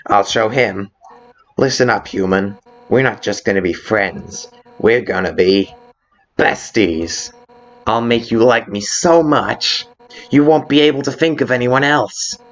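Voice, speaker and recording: Game fan dub: brash female fish-warrior played by a man, emphatic, sarcastic, slower delivery, dull lo-fi sound. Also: on the low side, fairly bright tone. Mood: competitive, defiant. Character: fierce, brash female fish-warrior monster, grudgingly hospitable. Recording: muffled lo-fi audio with background music.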